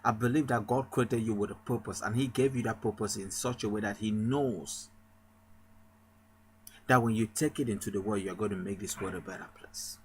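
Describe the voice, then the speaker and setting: A man's voice: fairly low pitch, moderate pace, a little hiss or hum. A man from Cameroon, a pastor, giving an emphatic motivational sermon with a repetitive rallying cadence.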